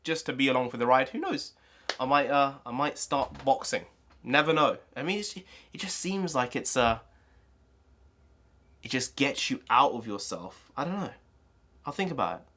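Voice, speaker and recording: A congested young man, talking casually and rambling excitedly as a channel host. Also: medium pitch, moderate pace, long pauses. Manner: rambling, excitable, full of restarts. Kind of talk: casual solo commentary.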